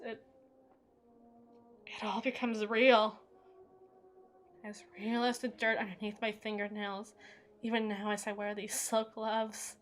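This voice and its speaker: Evil queen, sharp forceful voice, theatrical, brooding, unstable, menacing, formal diction, audio roleplay, background music. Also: mid-range voice. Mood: anguished. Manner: theatrical, formal, intense, swinging between hushed and forceful. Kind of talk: dramatic ASMR roleplay monologue.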